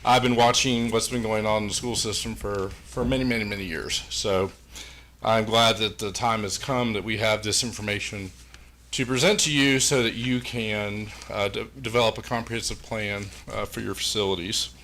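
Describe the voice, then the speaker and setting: Male presenter, booming and forceful, folksy with fillers, slightly low-pitched. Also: steady tone, normal speed, a little hiss or hum. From Pulaski County, Virginia, USA. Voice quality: big booming voice. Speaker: engineering firm presenter. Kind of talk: presentation to a school board. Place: public meeting room. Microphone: meeting room microphone.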